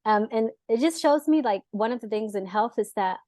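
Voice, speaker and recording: A young adult woman, born in the US and raised in Israel, chatting as a dietitian guest in a lively way, full of fillers and laughs. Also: a little high, a little fast, dry recording, studio quality. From born in the US, grew up in Israel. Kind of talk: casual interview talk.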